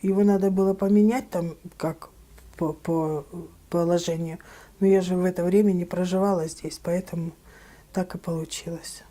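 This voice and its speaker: An older woman from Ukraine, recalling her life in a documentary interview, plain and unhurried with small hesitations. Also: fairly low pitch, steady tone, dark tone.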